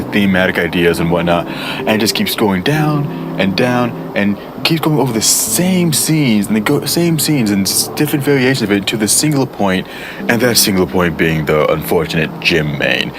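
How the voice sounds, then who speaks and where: Deep low guy rambling thru a book review, bouncy up and down delivery, guitar music playing behind. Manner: rambling, with many fillers and restarts. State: voice worn low from much talking. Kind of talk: casual book review to camera.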